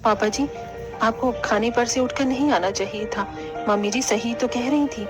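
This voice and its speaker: Woman as a meek, gentle young daughter-in-law. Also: fairly high pitch, moderate pace, music in the background, pitched below the performer's own voice, close to an everyday voice. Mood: soft pleading. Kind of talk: Hindi cartoon family drama.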